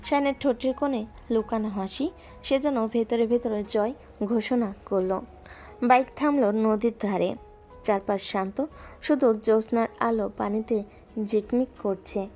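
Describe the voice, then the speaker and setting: A woman narrating a romantic audio drama in a calm, steady storytelling voice. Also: a little high, normal speed, soft background music.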